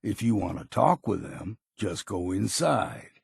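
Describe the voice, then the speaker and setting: A weathered old hunter from a video game, wary but loyal. His voice is deeply gravelly and raspy, with lively swings in pitch. He talks in a curt, plain, folksy way, recorded very dry and close. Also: a little slow, no room sound, exaggerated voice. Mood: grave, instructive. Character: gruff, hard-drinking old male island hunter and guide, wary but loyal. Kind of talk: video game character dialogue.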